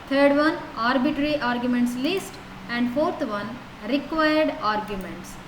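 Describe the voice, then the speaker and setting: A woman with a high voice explains a programming lesson, taking the code one step at a time. She talks in a steady, patient way and keeps starting with "so" and "now". The room is echoey, and clear noise can be heard behind her. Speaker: teacher. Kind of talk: lecture walking through code.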